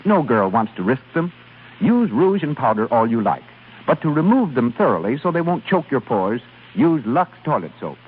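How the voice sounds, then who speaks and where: Vintage male commercial announcer, scripted, animated intonation, husky edge, tinny old radio sound. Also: mid-range voice, normal speed. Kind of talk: scripted 1930s radio advertisement. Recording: tinny 1930s radio broadcast.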